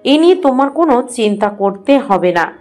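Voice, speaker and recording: A woman's voice: fairly high pitch, sing-song, moderate pace, a little mellow. A woman playing a stern, strict mother of a grown son in an audio story. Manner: firm, cutting off objections. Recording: music behind the voice.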